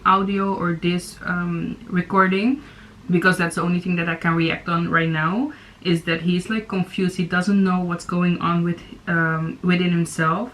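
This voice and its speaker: A woman's voice: on the low side, a bit bright, a little hiss or hum, poor audio. Hesitant young woman reacting on camera. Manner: hesitant, many fillers and restarts. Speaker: YouTube reaction host. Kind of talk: casual reaction commentary.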